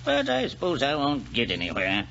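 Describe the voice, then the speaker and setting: An actor playing a cantankerous, cynical elderly club gentleman in a 1940s radio drama, blustering and scornful. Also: medium pitch, huge pitch swings, normal speed, creaky, heavily acted. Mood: scornful grumbling. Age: older. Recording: muffled, noisy 1940s radio recording.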